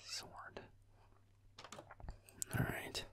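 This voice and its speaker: Guy doing a grumpy werewolf boyfriend gaming, slow raspy whispery mumble, deadpan and sweary. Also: no echo. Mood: annoyed, exasperated. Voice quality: low, breathy, gravelly half-whisper. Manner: muttering, swearing, sarcastic, deadpan. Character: grumpy young male werewolf boyfriend, gamer, sulky and aloof. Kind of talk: roleplay audio, muttered video game commentary.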